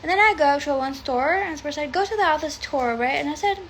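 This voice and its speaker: A woman's voice: high voice, animated, fast, slight background noise, rough recording. A woman whose first language is Arabic, chatting casually as a tarot reader on a phone livestream from a room at home, rambling and swearing freely.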